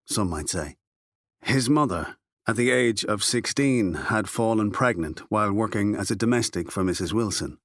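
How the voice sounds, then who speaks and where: A man's voice: fairly low pitch, fairly bright tone, no room sound, clean studio sound. A man reading literary fiction as an audiobook narrator.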